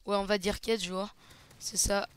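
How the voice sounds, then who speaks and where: A man giving casual, hesitant play-by-play commentary over a shooter match, slightly hoarse, with lots of fillers. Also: sits high, little pitch movement, a little slow, no echo. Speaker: gaming channel host. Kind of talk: gameplay commentary.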